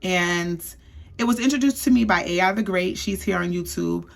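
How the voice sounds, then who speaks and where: Woman, young adult, low-ish voice, sassy, chatty, casual perfume review. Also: moderate pace, gravelly. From US East Coast. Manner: sassy, chatty, lots of "okay?". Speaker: beauty vlogger.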